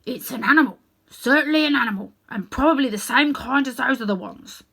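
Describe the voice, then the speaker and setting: A woman voicing a proud, stubborn male bulldog from a storybook, gruff and insistent. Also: high voice, fairly quick, a bit bright, voice pushed up, full character voice. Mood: indignant.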